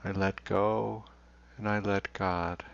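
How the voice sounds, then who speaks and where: A man leading a guided meditation in a slow, deliberate way, leaving long pauses. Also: low voice, level tone, vocal fry. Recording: dull, roomy recording.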